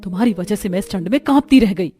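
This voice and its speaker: A woman's voice: mid-range voice, lively intonation, fast talker, warm tone, faint music behind, close to an everyday voice. A woman voicing an aggrieved, argumentative young daughter-in-law in a Hindi family cartoon, with a nagging, indignant manner. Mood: angry.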